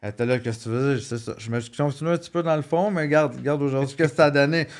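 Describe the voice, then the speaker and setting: A man's voice: mid-range voice, very fast. A man with a Québécois French accent, telling his life story in a casual interview, joking and rambling with lots of repeated words. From Quebec. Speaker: guest.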